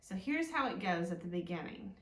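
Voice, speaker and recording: A woman leading a children's storytime as a librarian, reading aloud expressively and talking gently and simply to young listeners. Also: lowish voice, sing-song, a little fast. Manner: gentle, simple, encouraging talk aimed at small children. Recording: roomy, echoing indoor sound.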